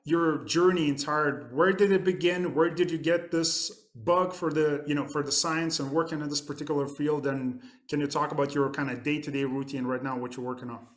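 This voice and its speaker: A man's voice: fairly high pitch, steady tone, a little fast, hardly any pauses. A man hosting a podcast, introducing his guest eagerly and asking rambling questions full of fillers. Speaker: podcast host. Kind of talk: casual interview hosting.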